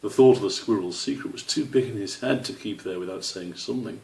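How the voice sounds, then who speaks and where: A man's voice: lowish voice, brisk, creaky, darkish tone. A man reading a children's story aloud as its narrator. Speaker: author reading own story. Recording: roomy, slightly distant microphone.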